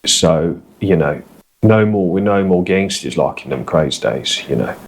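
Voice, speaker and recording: A man voicing a blunt, rough East London man with a Cockney accent, brusque and matey. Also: low-pitched, expressive, unhurried, a little hiss or hum, low-quality recording.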